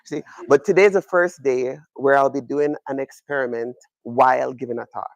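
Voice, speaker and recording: A man's voice: medium pitch, normal speed, creaky, no echo, low-quality recording. A man in his thirties with a Jamaican accent, an astronomy professor giving an upbeat, chatty public lecture in an observatory room. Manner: upbeat, chatty, joking with the audience.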